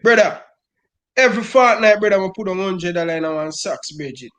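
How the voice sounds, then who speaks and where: A man's voice: high voice, a little fast, a bit bright. A middle-aged man with a Jamaican Patois accent, speaking as a football fan in an impassioned rant and calling everyone "brother" over and over. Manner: emphatic, repeats "brother" constantly.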